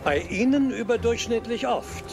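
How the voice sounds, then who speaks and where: An exasperated older weapons inventor from a spy film. He talks high and officiously, with dry precision, over clear music. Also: animated, moderate pace, heavily acted. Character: fussy, exasperated older male gadget master. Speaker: gadget master. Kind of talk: dubbed film acting.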